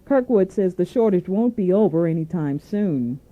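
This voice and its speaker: A woman's voice: fairly low pitch, expressive. Tinny radio woman news anchor. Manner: formal, measured newsreading delivery. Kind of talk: scripted radio newscast. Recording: narrow tinny AM radio aircheck.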